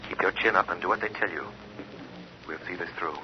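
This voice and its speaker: A mysterious invisible crime fighter from old radio. His very low voice comes through a telephone-like filter, secretive and commanding, on a muffled recording. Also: brisk, a little hiss or hum. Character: mysterious invisible male avenger, commanding and reassuring. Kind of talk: 1940s radio drama acting.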